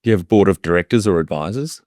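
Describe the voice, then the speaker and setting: Deep-voiced male podcast host. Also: lively intonation, very fast, darkish tone, no echo, studio quality. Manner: brief, affirming interjections. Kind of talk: interview questions.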